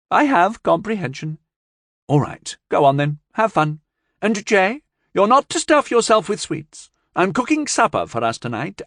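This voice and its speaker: A man's voice: on the high side, fairly bright tone, no echo, clean studio sound, pitched above the performer's own voice. A man voicing a stern older woman who scolds firmly. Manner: firm and scolding.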